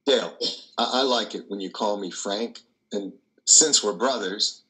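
An older man acts out a kindly priest in a stage drama, with a lowish, bright voice. He counsels gently, in measured, reassuring phrases with small hesitations. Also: poor audio. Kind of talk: dramatic play reading. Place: indoor wood-trimmed room on a video call.

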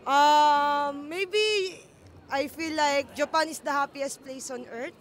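A woman gives short, simple answers in a high voice. She speaks into a handheld mic on a busy night street. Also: slow. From Philippines. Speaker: interviewee.

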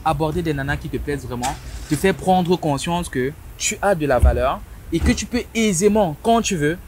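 A man's voice: on the high side, expressive, normal speed, a little hiss or hum. A young man speaking as a dating coach, giving a promotional talk outdoors. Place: outdoors on a city street. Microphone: clip-on lapel mic.

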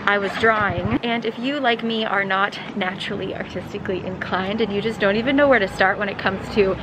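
A young adult woman chatting casually to camera in an animated, informal, digressive way as she vlogs. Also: mid-range voice, fairly quick. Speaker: vlogger and host. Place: outdoors on windy city streets. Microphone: handheld camera at arm's length. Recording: wind and street traffic noise.

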